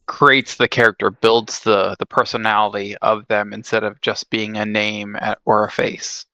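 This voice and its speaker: A man's voice: on the low side, level tone, moderate pace, bright, forceful tone, dry recording, highs cut off. A man co-hosting a casual discussion, explaining his points earnestly and repeating them for emphasis. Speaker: podcast co-host.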